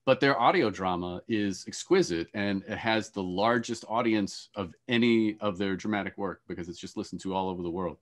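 A middle-aged man hosting a panel on a video call in a conversational, halting way with repeated starts. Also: lowish voice. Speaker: panel host, moderator. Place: room with shelves of boxes. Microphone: video call, earphones.

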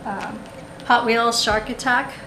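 A woman's voice: fairly high pitch, fairly even intonation, takes it slowly, pushed and bright. A woman chatting casually through a haul at a table, rambling with lots of ums and restarts, recorded on the camera. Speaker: haul vlogger. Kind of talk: casual show-and-tell haul. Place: indoors at a table, camera over the table. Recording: echoey room with background noise.

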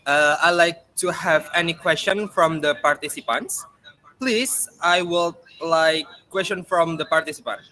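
A man's voice: a little high, unhurried, pushed and bright, rough recording. A man moderating a question and answer session, politely inviting participants to raise their hands. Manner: polite, repeats his request.

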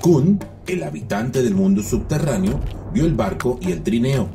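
A man's voice: mid-range voice, animated, unhurried, creaky, warm tone. Male narrator, scripted children's folktale, very varied intonation, music bed. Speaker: storyteller narrator.

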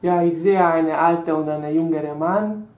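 A young man with a Hungarian accent speaks slow, simple German with small errors, as a candidate in a spoken exam. His speech is unhurried. The sound is roomy, recorded across a small room. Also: a little high, steady tone, a little mellow. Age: young adult. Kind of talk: oral language exam answers. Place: small indoor room at a table.

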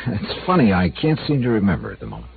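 A wry, dogged insurance investigator with a very deep voice that swings freely up and down, pressing every question with dry wit. It comes through a tinny, narrow old radio broadcast with a faint hiss. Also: male voice, wildly swooping pitch, fast talker, gravelly, exaggerated voice. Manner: dry, wisecracking, persistent questioning. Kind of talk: 1950s radio drama acting.

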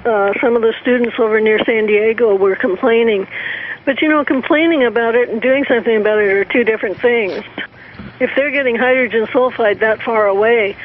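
A woman speaking as a panelist, explaining news reports in a halting way with repeated words. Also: fairly high pitch. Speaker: panelist on a talk show. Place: remote call into radio show. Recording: tinny phone-like line with static.

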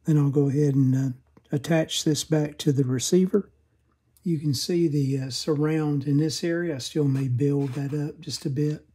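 A man giving a casual, low-key, step-by-step narration of hands-on repair work, matter-of-fact and a little hesitant. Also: fairly even intonation, normal speed, dark tone, slightly rough. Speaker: radio restorer narrating a repair.

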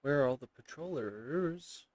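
A man's voice: fairly high pitch, animated, measured pace, a little mellow, dry recording. A man in his thirties giving casual, rambling commentary as he plays a game, reacting and reading text aloud. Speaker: gameplay streamer. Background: game music.

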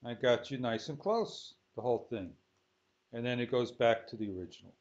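A man giving an unscripted software tutorial, thinking aloud in short, halting phrases as he works. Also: mid-range voice. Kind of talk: unscripted screen-recorded tutorial. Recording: dull lo-fi sound.

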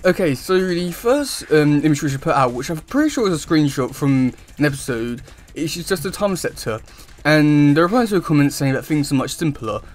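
Higher-voiced young man, halting casual talk. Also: lively intonation. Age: young adult. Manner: halting, hesitant, wordy delivery. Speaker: YouTube host reviewing comic news. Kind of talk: casual chat to camera. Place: small room indoors at home.

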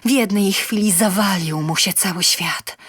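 A woman playing a self-satisfied, sensual lonely inventor, telling her comic tale in a theatrical, expressive manner. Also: medium pitch, unhurried, husky, no echo, studio quality. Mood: melodramatic. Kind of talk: dramatic comic story narration.

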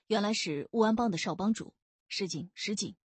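A woman's voice: medium pitch, darkish tone, slightly rough, no echo, close to an everyday voice. Woman playing a cool, courteous young male officer. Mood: polite, composed. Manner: mild, cool, measured.

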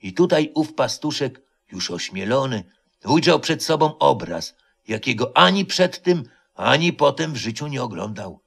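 A man reading a literary legend as a solemn, deliberate dramatic narration. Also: mid-range voice, very animated, vocal fry, a bit bright, husky. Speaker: storyteller, reader.

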